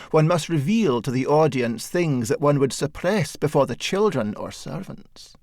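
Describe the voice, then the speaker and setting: A man narrating a satirical short story as an audiobook, with measured, wry storytelling. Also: medium pitch, a little mellow, no echo, clean studio sound.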